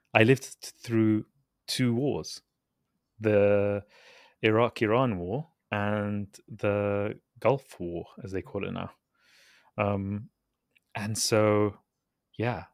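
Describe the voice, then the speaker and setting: A man's voice: lowish voice, no echo, clean studio sound. A man, explaining casually as a podcast guest. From London, England; born in Baghdad. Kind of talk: casual explanatory interview talk.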